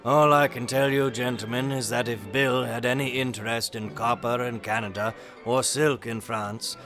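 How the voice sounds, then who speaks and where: A confident gentleman with a mid-pitched voice, acted by a man in a period audio drama. He is genial and polite, and answers suspicion with smooth self-assurance. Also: steady tone, moderate pace, soft background music, natural voice. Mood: self-assured. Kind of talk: audio theatre period mystery acting.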